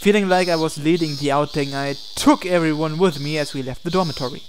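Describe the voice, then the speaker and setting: A man's voice: on the high side, fast talker, a little hiss or hum. A man reading a visual novel aloud in a narrated let's play, adding asides and occasionally stumbling over the text. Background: game music.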